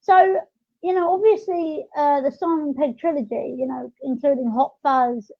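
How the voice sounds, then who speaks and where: A young woman with a high voice chats casually on a podcast. She is chatty and agreeable, and the audio sounds slightly dull and lo-fi. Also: fairly even intonation, moderate pace. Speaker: podcast host. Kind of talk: casual chat.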